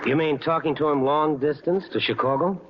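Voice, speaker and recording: An actor playing a wry, dry, slangy newspaper reporter who narrates and talks in a vintage radio drama. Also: male voice, on the high side, a little fast, noisy background, lightly acted. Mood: probing. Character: wry, hard-boiled male Chicago newspaper reporter. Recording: muffled old radio recording with loud music.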